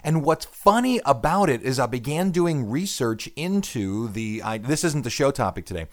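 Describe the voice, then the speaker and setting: A man's voice: mid-range voice, big pitch swings, moderate pace. A man hosting a rock music radio podcast, talking in a chatty, explanatory, lecture-like way. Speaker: radio show host. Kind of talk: casual talk radio.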